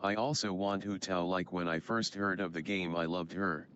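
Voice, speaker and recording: Low-voiced man streaming, muffled mic. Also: little pitch movement, normal speed, fairly bright tone. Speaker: game streamer. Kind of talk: casual chat while gaming. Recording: dull mic with faint noise.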